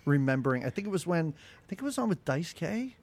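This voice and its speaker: A man's voice: a little high, big pitch swings, fast, a little mellow. A man with a nasal voice, a chatty and self-deprecating guest on a podcast, laughing at his own jokes. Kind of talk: casual podcast chat.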